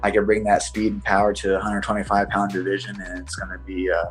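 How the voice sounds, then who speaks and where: A man, a professional fighter, giving a casual interview answer in a halting but self-assured way. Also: lowish voice, monotone, faint music behind, poor audio.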